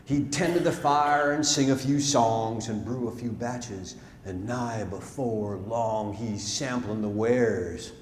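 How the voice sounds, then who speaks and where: A man recites rhyming narrative verse on stage as a storyteller, dramatic and deliberate, swelling and then hushing his phrases. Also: mid-range voice. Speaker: storyteller performing a narrative poem. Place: large theatre, live stage performance. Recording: live theatre stage, long reverb, noisy.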